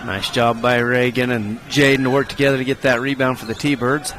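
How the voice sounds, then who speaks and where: A man's voice: medium pitch, fairly even intonation, brisk. Man breaking down basketball plays as color analyst. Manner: coach-like breakdown of plays and spacing. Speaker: color commentator. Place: gym at a high school basketball game. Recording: game broadcast with gym noise. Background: crowd and court noise of the game.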